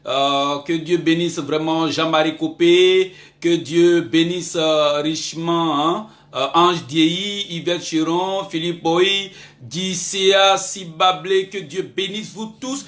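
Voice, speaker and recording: A fairly high male voice with an Ivorian accent, presenting a live show. He is emphatic and repeats himself, pausing often to find the next word. The room rings with echo around him. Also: steady tone, a bit bright. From Ivory Coast. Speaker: web radio-television host. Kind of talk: live show sign-off with blessings and announcements. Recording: echoey room.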